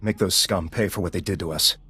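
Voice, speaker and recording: An actor playing a loyal, shaken but determined field agent in a video game, earnest and urgent. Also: male voice, low-pitched, brisk, sharp tone, slightly rough, heavily acted. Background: music.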